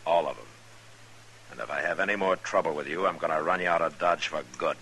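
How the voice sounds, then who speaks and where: An actor playing a steady, protective American frontier marshal in an old-time radio western, plain-spoken and firm. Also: male voice, very deep voice, fast, a little hiss or hum, heavily acted. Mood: stern.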